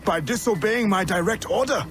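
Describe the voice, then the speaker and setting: A severe older male mentor of assassins in a video game. His voice sits a little high for a man, formal and commanding, with faint music behind. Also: normal speed, fairly bright tone. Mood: furious.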